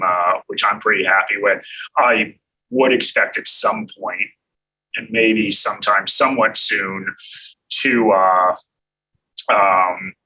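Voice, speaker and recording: An American man hosting a casual live stream about money, with a low, very bright voice that has a husky edge. He talks in a relaxed way, thinking aloud and filling pauses with ums and uhs, and sits a little back from the mic. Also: far from the mic, low-quality recording. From United States. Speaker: host answering viewer questions.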